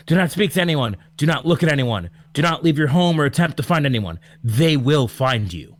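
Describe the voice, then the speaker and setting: A man playing a frightened, forceful emergency broadcaster pleading with the public in a radio drama read-through. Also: on the high side, lively intonation, a little fast, close to an everyday voice. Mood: urgent, alarmed.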